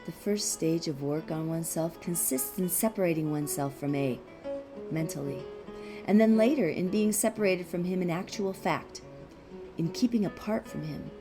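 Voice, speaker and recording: A woman reading a philosophical text aloud as scripted narration, in a measured, deliberate way with pauses. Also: fairly low pitch, over music. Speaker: audiobook reader.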